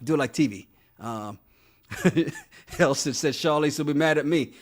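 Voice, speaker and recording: A man's voice: on the high side, moderate pace. A man co-hosting a casual podcast, chatting loosely, reading viewer comments aloud, laughing often and repeating words. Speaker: podcast co-host. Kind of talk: casual chat reading live chat.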